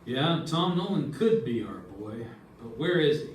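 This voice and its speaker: Hard-boiled detective , male actor: middle pitch, clipped and probing, old-time radio style, echoey sound. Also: lively intonation, a little slow, slight background noise, natural voice. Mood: brisk, probing. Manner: terse, pointed. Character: hard-boiled male investigator narrating and questioning suspects. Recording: echoey room, distant mic, music.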